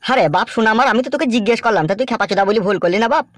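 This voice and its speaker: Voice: mid-range voice, rapid-fire, no room sound, studio quality, natural voice. A voice actor playing a naive, nosy, crude village man in a comedy cartoon, talking in a familiar, inquisitive way. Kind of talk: amateur Bengali comedy cartoon dialogue.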